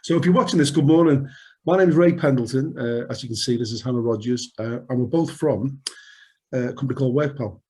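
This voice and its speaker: Mellow middle-aged Scouse man. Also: mid-range voice, quick pace. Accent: Liverpool (Scouse). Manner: jokey, rambling, frequent restarts. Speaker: podcast co-host. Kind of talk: unscripted podcast chat.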